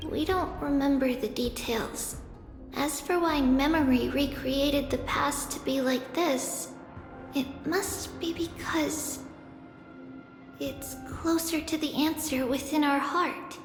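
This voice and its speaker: A woman voicing a gentle, wistful young female guide in a video game, speaking in a soft, measured, solemn way. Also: high voice, steady tone. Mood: wistful, reassuring. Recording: reverberant hall effect under music.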